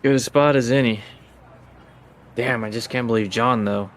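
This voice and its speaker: A man playing a laid-back older uncle from a crime family in a tabletop game, terse and unhurried. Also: mid-range voice, steady tone, a little hiss or hum, natural voice. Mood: weary, resigned.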